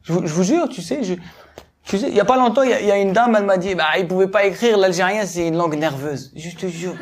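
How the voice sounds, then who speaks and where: A man of Algerian family doing a stand-up routine, talking animatedly and building up to shouted punchlines. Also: high voice, fast. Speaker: stand-up comedian.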